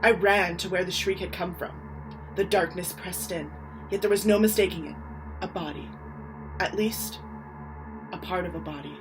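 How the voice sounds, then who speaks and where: A woman's voice: lowish voice, fairly even intonation, normal speed, long pauses, over music, low-quality recording. A woman reading a scripted horror story aloud in a theatrical, suspenseful way. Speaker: author reading their own short story.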